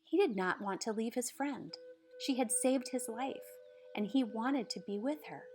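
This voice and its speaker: A woman reading a children's picture book aloud in a measured, dramatic storytelling manner. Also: on the high side, a little mellow, soft background music. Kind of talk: expressive scripted storybook narration.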